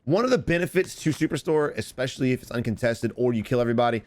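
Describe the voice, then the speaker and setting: A man in his thirties giving casual coaching commentary over gameplay from a home streaming setup, his voice a little hoarse from streaming. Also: mid-range voice, expressive, a little mellow. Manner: rapid, repetitive, advice-giving. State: slightly hoarse from screaming on stream.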